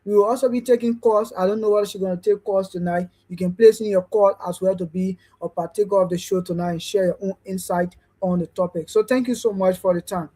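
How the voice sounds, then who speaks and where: A man's voice: high voice, fairly even intonation, quick pace, hardly any pauses, dark tone. A man who co-hosts the show, making upbeat announcements to the viewers of a live online show. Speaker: co-host.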